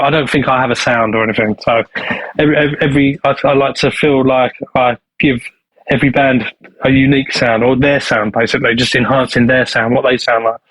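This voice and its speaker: A man's voice: medium pitch, fairly bright tone, no room sound, rough recording. Manchester producer guy chatting, lots of restarts and ums, mid voice, dry mic. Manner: rambling with many restarts and fillers. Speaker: studio owner and producer. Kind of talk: casual interview answers.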